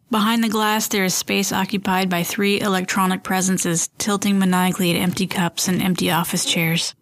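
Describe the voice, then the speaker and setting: Casting: woman as wry, distrustful narrator of surreal fiction podcast, slightly low register, literary delivery, dry studio sound. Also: dry recording, natural voice. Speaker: storyteller and host of a fiction podcast. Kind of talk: scripted dramatic first-person narration.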